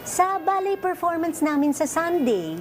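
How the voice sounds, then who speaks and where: A woman's voice: extremely high pitch, animated, measured pace, slight background noise, voice pushed up. A woman playing a grateful young daughter in a radio drama, with a Filipino accent. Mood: happy, eager. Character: grateful young daughter, medicine graduate and ballet student.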